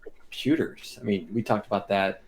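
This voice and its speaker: A man's voice: lowish voice, moderate pace, darkish tone, slight background noise. A middle-aged man co-hosting a podcast in casual chat, with a teasing, blunt, salty way of talking. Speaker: podcast co-host.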